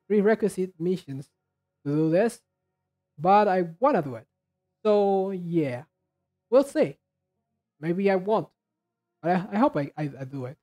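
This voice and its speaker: A reaction video host giving casual commentary, halting and repeating words while thinking aloud. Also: medium pitch, mellow.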